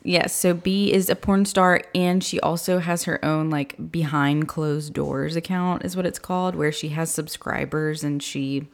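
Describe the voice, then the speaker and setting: A woman co-hosting a book podcast, with a slightly raspy voice, talking casually and laughing often. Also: low-pitched, fairly even intonation, measured pace, few pauses. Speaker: podcast co-host. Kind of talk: casual chat, book review.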